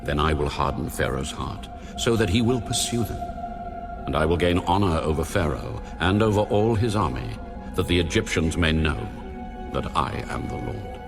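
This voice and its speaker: An actor playing God in a dramatized audio Bible, speaking with solemn, weighty authority. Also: male voice, extremely low pitch, lively intonation, music in the background. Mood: solemn, resolute. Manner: slow, weighty, authoritative pronouncements. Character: God, solemn commanding male divine voice.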